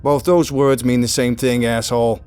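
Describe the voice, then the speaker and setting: A man voicing a furious, foul-mouthed young male student who shouts insults. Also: mid-range voice, steady tone, normal speed, clean tone, soft background music, voice pushed up, close to an everyday voice.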